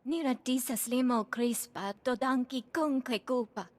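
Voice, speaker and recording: An actress playing an alien in a video game, talking in an invented alien tongue. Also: female voice, high voice, unhurried, no echo. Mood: earnest. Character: female alien speaking an invented gibberish tongue. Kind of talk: video game cutscene acting.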